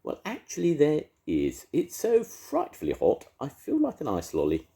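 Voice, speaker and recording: A man's voice: a little high, wildly swooping pitch, normal speed, creaky, dark tone, voice pushed up, exaggerated voice. A man voicing a polite, posh male beast, a cursed prince, with a plummy upper-class drawl.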